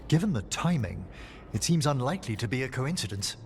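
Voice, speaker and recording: An actor playing an erudite, grave scholar in a video game, speaking in a formal, articulate manner. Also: male voice, mid-range voice, big pitch swings, fairly quick, a little hiss or hum. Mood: thoughtful. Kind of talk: cinematic video game voice acting.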